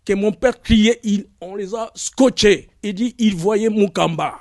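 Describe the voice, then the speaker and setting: A man's voice: high-pitched, a little slow, darkish tone, no echo, clean studio sound. A man giving an impassioned public statement in a clip, speaking emphatically and building to anger. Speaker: speaker in played video clip.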